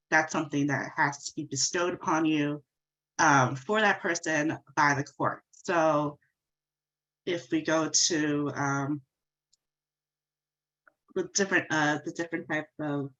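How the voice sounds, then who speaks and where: Congested low-voiced woman attorney. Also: bright, forceful tone, lo-fi sound. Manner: conversational explaining, walks through slides. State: congested from a cold. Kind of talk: legal webinar presentation.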